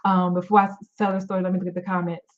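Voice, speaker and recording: A woman's voice: on the low side, completely flat delivery, very fast, muffled recording. A middle-aged woman life coach on a live stream, tired and losing her voice, talking candidly with many fillers and restarts. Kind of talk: live-stream motivational talk.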